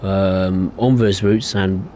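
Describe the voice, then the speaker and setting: A man's voice: deep voice, fairly even intonation, takes it slowly, mellow, a little hiss or hum. A young man streaming a game, chatting casually and rambling with lots of hesitations while giving his opinions. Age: young adult. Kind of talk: casual live-stream chat.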